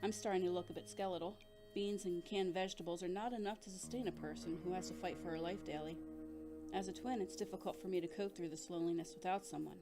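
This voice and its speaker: Audio drama survivor female actor: lowish voice, bleak and bitter journal monologue, clear music underneath. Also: fairly even intonation, fast talker, close to an everyday voice. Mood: despairing. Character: grief-stricken, despairing woman survivor writing a journal.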